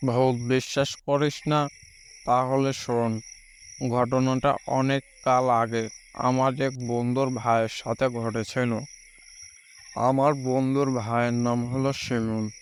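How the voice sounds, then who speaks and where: A man playing an old village grandfather who tells ghost stories in a cartoon, in an unhurried way. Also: mid-range voice, flat delivery, lightly acted. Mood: unhurried storytelling. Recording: noisy, with night crickets behind.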